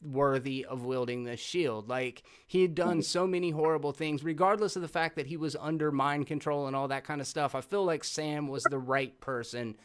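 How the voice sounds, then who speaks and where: A man's voice: on the high side, normal speed, hardly any pauses, clear, resonant tone. A man co-hosting a film review in casual, informal talk with an emphatic, sweary way of speaking. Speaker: podcast co-host.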